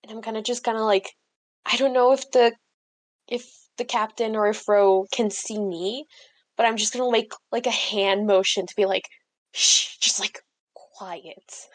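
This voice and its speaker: A woman's voice: medium pitch, steady tone, unhurried. A woman playing a tabletop game, talking casually as she describes her actions. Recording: music under the voice.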